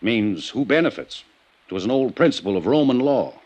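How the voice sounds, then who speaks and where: Defensive American county lawyer, mid-pitched, sharply varied intonation, curt, testy, self-assured, old radio drama. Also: male voice, very animated, fairly quick, a little mellow, slightly rough. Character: defensive, testy, ambitious small-town male county lawyer. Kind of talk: old time radio detective drama acting.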